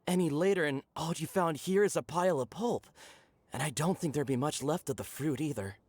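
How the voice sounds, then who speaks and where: Good-natured, higher-voiced delivery man. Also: expressive, a little fast, no room sound. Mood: relieved. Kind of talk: video game voice acting.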